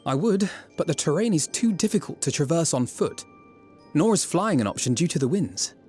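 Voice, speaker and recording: A man's voice: a little high, animated, normal speed, dark tone, faint music behind, natural voice. A man acting an earnest soldier in a video game cutscene, giving a formal, composed, articulate briefing.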